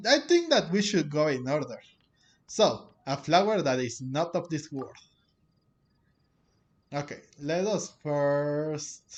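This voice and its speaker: A man doing casual, rambling gameplay commentary as host, with halting delivery. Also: on the high side, highs cut off. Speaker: host of a game playthrough channel. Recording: game music under the voice. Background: game music.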